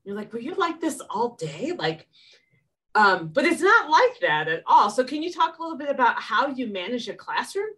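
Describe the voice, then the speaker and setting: A woman's voice: a little high, big pitch swings, normal speed, sharp tone, far from the mic. A woman hosting an interview, talking in a conversational way as she leads into her questions. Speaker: interview host. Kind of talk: casual interview chat.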